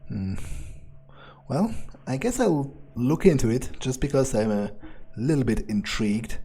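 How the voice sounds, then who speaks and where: Mellow, nonchalant man musing aloud. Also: mid-range voice, lively intonation, a little slow, slightly rough. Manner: nonchalant, musing, hesitant phrasing. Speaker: gamer doing an in-character playthrough. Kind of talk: improvised in-character game commentary, thinking aloud.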